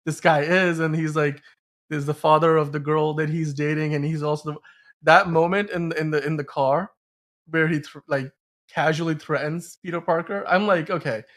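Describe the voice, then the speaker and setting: A man's voice: a little high, steady tone, moderate pace, a bit bright. Emphatic, rambling male podcast host. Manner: rambling, emphatic, stumbling over words. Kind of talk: casual film discussion.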